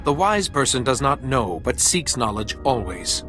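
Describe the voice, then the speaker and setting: An actor playing a calm, patient male Jedi who speaks in a measured, polite way in a video game. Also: medium pitch, in a large hall, music in the background.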